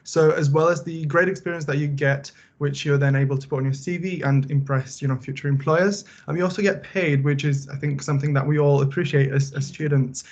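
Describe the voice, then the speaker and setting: Flat-toned young man, muffled audio. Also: a little high, fairly quick, few pauses. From Bulgaria. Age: young adult. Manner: relaxed, filler-heavy, conversational. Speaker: student presenter. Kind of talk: casual presentation.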